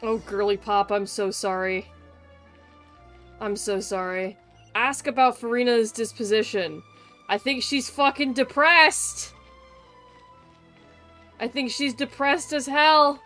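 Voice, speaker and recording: A woman's voice: fairly high pitch, steady tone, slow, pauses often, a bit bright, soft background music. A woman livestreaming a game with casual, blunt, sweary and dramatic commentary. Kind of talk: casual reactive gameplay commentary.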